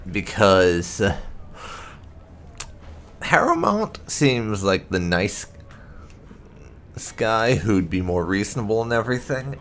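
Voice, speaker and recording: Male let's-play presenter, slightly low voice, animated intonation, deliberate halting phrasing. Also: a little hiss or hum. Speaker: gaming video host. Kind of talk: casual let's-play commentary.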